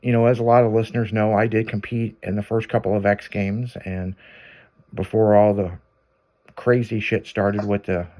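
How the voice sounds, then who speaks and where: A man's voice: fairly low pitch, level tone, normal speed, dark tone. A man hosting a radio show, chatting casually, rambling and swearing, trailing off with fillers. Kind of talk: casual on-air chat.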